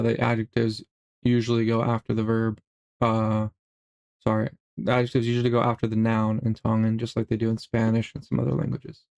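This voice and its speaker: A middle-aged man narrating a slideshow language lesson in a relaxed way, with many fillers and restarts. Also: mid-range voice, smooth. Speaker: language teacher.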